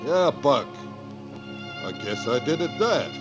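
Gruff, commanding frontier lawman. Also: medium pitch, big pitch swings, slow pace. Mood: wry. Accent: American Western. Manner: curt, bossy. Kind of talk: 1940s radio acting. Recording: dull old radio recording with music.

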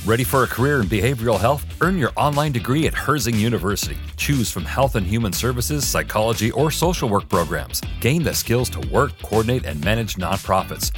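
A man reading a scripted commercial as an announcer. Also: on the low side. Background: music.

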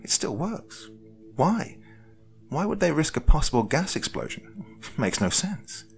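A man voicing an earnest, sharp-minded young woman who questions insistently in a breathy way in a dramatic story. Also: fairly high pitch, very animated, unhurried, faint music behind, pitched above the performer's own voice, full character voice. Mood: urgent, suspicious.

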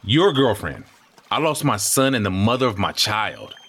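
A man playing a grieving father in an audio drama, wounded and quick to flare up. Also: fairly low pitch, big pitch swings, fairly bright tone, slightly rough, full character voice. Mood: strained. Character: bitter grieving father, Harry.

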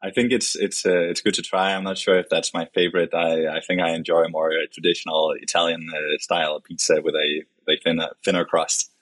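A man in his late twenties with a Danish accent, chatting casually and haltingly as a modest interview guest. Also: low voice, level tone, a bit bright, no room sound. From Denmark, grew up in Copenhagen. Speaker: podcast guest, company vice president.

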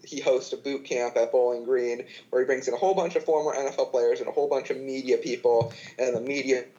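A podcast guest in his twenties talks in a chatty, casual way, full of fillers and false starts. His voice sits at a middling pitch and comes through slightly muffled, lo-fi audio. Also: fairly even intonation, fast, dark tone. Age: 20s. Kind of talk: casual chat.

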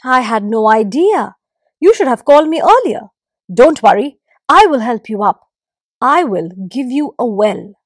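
A woman voicing a kind male creator god who comforts and commands the animals in a warm, reassuring way. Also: fairly high pitch, sing-song, normal speed, no room sound, clean studio sound.

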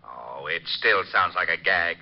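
Skeptical homicide cop, gruff, low and animated, muffled vintage radio drama. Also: male voice, a little slow. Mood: skeptical, brisk. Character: gruff, skeptical male police homicide lieutenant. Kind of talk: 1940s-50s radio drama acting. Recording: muffled old radio recording.

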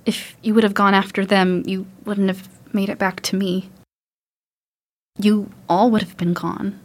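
A young survivor daughter in a post-apocalyptic audio drama, played by a woman, tough yet loving. Her voice sits at a middle pitch. She talks in a frank, conversational, reassuring way. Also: level tone, unhurried, natural voice. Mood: consoling, sad.

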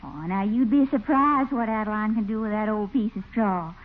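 An actress playing a coy, chatty, enterprising Southern belle in a 1940s radio comedy. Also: female voice, medium pitch, moderate pace, close to an everyday voice. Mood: proud, chatty. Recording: tinny 1940s radio recording.

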